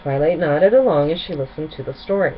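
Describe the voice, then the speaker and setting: Female children's storyteller. Expressive, lower-pitched, animated narration; muffled home-style sound with light noise. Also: fairly quick. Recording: dull sound with faint background noise.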